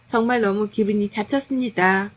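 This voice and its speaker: A woman's voice: mid-range voice, steady tone, moderate pace. A woman reading a scripted first-person story as narrator, in a polite, confiding storytelling manner.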